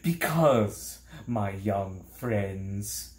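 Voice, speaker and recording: Man putting on a slow, theatrical villainous demon. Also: mid-range voice, animated, mellow, echoey room. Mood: sour. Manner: drawn-out, theatrical, deceitfully coaxing. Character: villainous male demon of petty tasks, sly and menacing.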